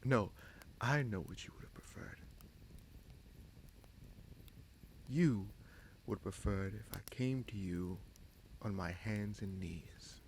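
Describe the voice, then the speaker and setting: A man playing a bitter, scheming prince in a fantasy audio roleplay, speaking deliberately with sardonic menace and dramatic pauses. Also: on the low side, slight background noise, poor audio. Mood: taunting. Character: bitter, scheming bastard prince commanding a captive dragon.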